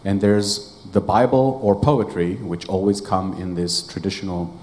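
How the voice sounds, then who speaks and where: A man whose first language is Hebrew, explaining a point in a measured, detailed way from the floor of a conference Q&A in a meeting room. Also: deep voice, darkish tone. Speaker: audience member asking a question.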